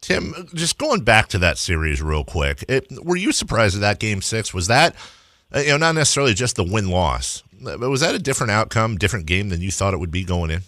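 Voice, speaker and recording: A man's voice: lowish voice, sing-song, a little fast, fairly bright tone, no room sound, studio quality. An American man hosting a sports radio show, interviewing in a conversational way.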